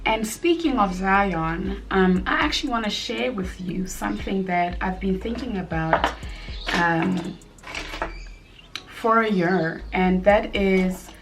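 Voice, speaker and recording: A woman around thirty with a slightly husky voice, chatting casually at home while doing her makeup, rambling with frequent ums and likes. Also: mid-range voice, slow pace, music in the background. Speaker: beauty vlogger and mother.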